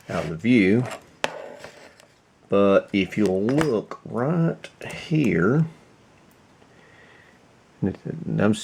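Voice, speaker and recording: A man with a fairly low voice and a heavy creak talks at a very unhurried pace. He hosts a casual hands-on demonstration, feeling his way through it in short, halting phrases as he thinks aloud. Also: a little mellow, a little hiss or hum. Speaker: host of a radio repair video.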